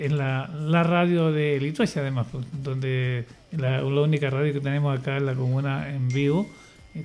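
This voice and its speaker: A man's voice: medium pitch, warm tone. An older man speaking in casual radio chat, hesitant and rambling, with many restarts and fillers. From Chile. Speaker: radio guest, church choir leader.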